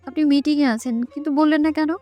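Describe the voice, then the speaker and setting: A woman's voice: high-pitched, fairly even intonation, quick pace, mellow, faint music behind, voice pushed up, lightly acted. A woman voicing a sweet young female student in a romantic story, earnest and plaintive. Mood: pleading, tender.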